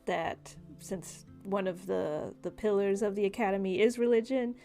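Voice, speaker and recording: A woman improvising narration as game master of a tabletop game, explaining in a halting way. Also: medium pitch, mellow, faint music behind.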